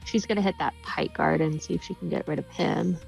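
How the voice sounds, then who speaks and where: A woman's voice: low-pitched, a little fast, creaky. A woman casually narrating her character's moves as a player in a tabletop roleplaying game, on a slightly dull recording. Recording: dull lo-fi sound, faint music.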